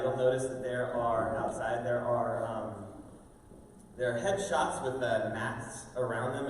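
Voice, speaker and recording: A man hosting a live show, giving an informal, halting welcome speech to an audience with drawn-out pauses. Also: medium pitch. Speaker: host, school fine arts director introducing a show. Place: large indoor room with stage and audience tables. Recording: very echoey hall, distant mic, faint noise.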